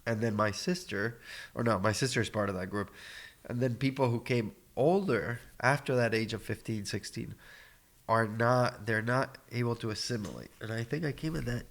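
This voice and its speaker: A man's voice: medium pitch, normal speed, vocal fry, a bit bright. A man in his thirties from Argentina whose first language is Spanish, guesting on a podcast and telling his story in a rambling, casual way with chuckles and restarts. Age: 30s.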